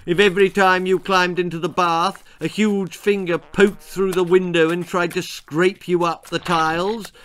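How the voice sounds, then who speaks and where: A man voicing a gruff, aggrieved green bogey monster with a growling, slurping voice in a children's comic horror story. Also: sits high, little pitch movement, moderate pace, hardly any pauses, voice pushed up. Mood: indignant. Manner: indignant, loud, menacing.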